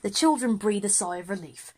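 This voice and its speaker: A woman's voice: medium pitch, expressive, a little fast, darkish tone. A woman narrating an audio drama for children, reading out scene headings and action. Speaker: narrator and performer of all parts.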